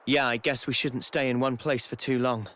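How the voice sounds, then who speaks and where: A man's voice: medium pitch, little pitch movement, moderate pace, a bit bright, lightly acted. A man playing an easygoing young swordsman in a video game, mild and thoughtful. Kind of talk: video game cutscene acting.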